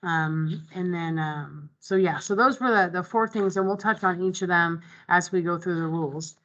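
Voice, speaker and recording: A woman's voice: lowish voice, normal speed, fairly bright tone, dull audio. A woman running an online committee meeting, talking through the agenda in a conversational way with many ums and restarts. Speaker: meeting facilitator. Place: online video call. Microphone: video call microphone.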